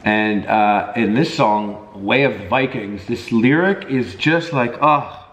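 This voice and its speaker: A man records a casual vlog in a room with a clear echo. His pitch sits in the middle for a man, and he talks in a jokey, slangy way, swearing freely as he addresses his viewers. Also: slow pace, fairly bright tone. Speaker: vlogger.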